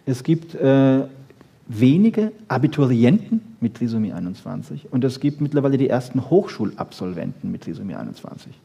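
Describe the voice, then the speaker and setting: A man lecturing freely on popular science to an audience, emphatic, with rhetorical questions and frequent checking tags, in a slightly husky voice. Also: mid-range voice, very animated, moderate pace, warm tone.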